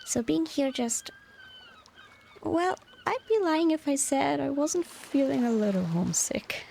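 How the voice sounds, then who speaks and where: A woman playing a tender, nostalgic former mermaid and devoted wife in a soft, unhurried, half-whispered ASMR roleplay. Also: high voice, animated, gravelly, slight background noise, heavily acted. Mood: wistful, homesick. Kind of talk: ASMR romantic roleplay, softly spoken.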